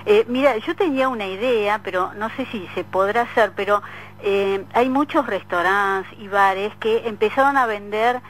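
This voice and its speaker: A woman's voice: medium pitch, moderate pace, a bit bright, low-quality recording. Argentine woman interviewer, faint background noise. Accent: Buenos Aires Argentine. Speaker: radio interviewer. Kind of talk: casual radio interview.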